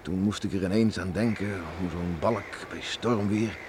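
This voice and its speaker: Radio play: male actor as older farmer father, low voice, reflective, rustic, concerned; noisy vintage recording. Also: steady tone, normal speed, lightly acted. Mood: musing, vivid. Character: ageing island farmer father, plain-spoken, reflective, concerned. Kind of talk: Dutch radio drama acting.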